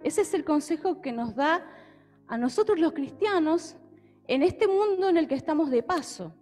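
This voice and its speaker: A woman's voice: high-pitched, expressive, normal speed, darkish tone, faint music behind. A young woman from Argentina with an Argentine accent, preaching a sermon on a handheld mic in a church hall and often checking in with her listeners. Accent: Argentine Spanish. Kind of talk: sermon with Bible reading. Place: church hall with a stage, indoors. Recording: long church hall reverb.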